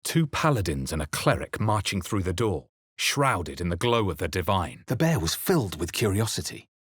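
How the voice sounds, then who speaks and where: An actor playing a grave, weary and observant adventurer in a video game, speaking in a measured, dramatic, husky way. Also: male voice, sits low, very animated, no echo, studio quality, heavily acted. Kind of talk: scripted video game narration and dialogue.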